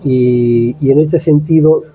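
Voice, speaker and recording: A man in his seventies, a native Italian speaker, giving thoughtful, measured interview answers with frequent pauses. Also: fairly high pitch, fairly even intonation, mellow, highs cut off. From Italy. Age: 70s. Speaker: guest writer.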